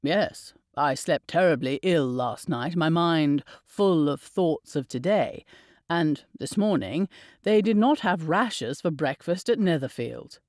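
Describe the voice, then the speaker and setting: A woman reading an audiobook, voicing a young gentleman husband with a low, dry, playfully teasing manner. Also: lively intonation, unhurried, dry recording. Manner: low, drawling, wry teasing.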